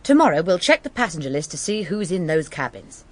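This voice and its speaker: An actress playing a spirited, bossy young woman in a radio mystery, brisk and lively. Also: on the low side. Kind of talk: radio drama acting.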